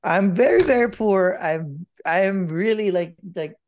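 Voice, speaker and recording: A man's voice: high voice, animated, a little mellow, slight background noise. Man, podcast co-host, casual rambling updates, lots of ums and uhs, swears offhand. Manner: rambling and halting, with many fillers and casual swearing. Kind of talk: casual chat.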